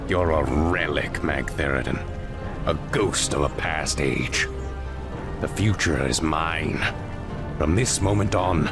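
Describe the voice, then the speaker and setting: A man's voice: rumbling low, slow pace, music in the background, exaggerated voice. A man voicing a brooding, imperious half-demon warlord in a game cutscene, grandiose and commanding. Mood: triumphant, menacing. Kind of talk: dramatic video game cutscene acting.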